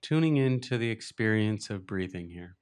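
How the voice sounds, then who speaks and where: A man with a lowish voice guides a meditation. He speaks as a teacher giving simple instructions, gently and without hurry. Long pauses sit between his phrases. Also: lively intonation, clean tone.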